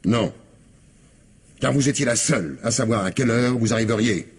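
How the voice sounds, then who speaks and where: Husky, relentless police commissioner. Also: male voice, medium pitch, moderate pace. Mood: insistent, accusing. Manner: methodical, insistent, didactic. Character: shrewd, relentless male police commissioner making accusations. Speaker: actor in an audio mystery play. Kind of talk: French radio crime drama acting.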